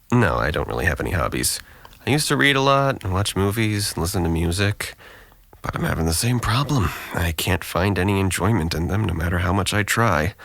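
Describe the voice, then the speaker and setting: Man acting as a gravelly, depressed young man. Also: deep voice, fairly bright tone, no echo, full character voice. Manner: weary, dry, sarcastic. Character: depressed, sardonic twenty-one-year-old man in therapy. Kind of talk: audio drama dialogue.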